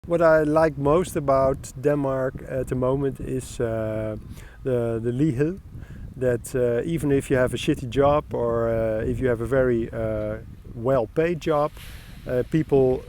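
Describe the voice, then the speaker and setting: A man's voice: medium pitch, steady tone, measured pace, a little mellow, slight background noise. A young Dutch man with a Dutch accent, answering street interview questions in English with frequent hesitant fillers. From Netherlands.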